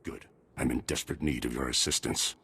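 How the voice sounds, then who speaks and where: An actor playing a stern, worried middle-aged army major and father in a video game, gruff and commanding. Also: steady tone, a little fast, bright, forceful tone, heavily acted. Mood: commanding, urgent. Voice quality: very deep, gravelly husky voice. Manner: gruff, commanding military delivery.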